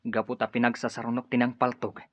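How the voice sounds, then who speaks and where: A man's voice: mid-range voice, completely flat delivery, fast, fairly bright tone, dry recording, lo-fi sound, close to an everyday voice. An actor with a Filipino accent playing an obedient, subdued rebel henchman in a radio drama. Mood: deferential.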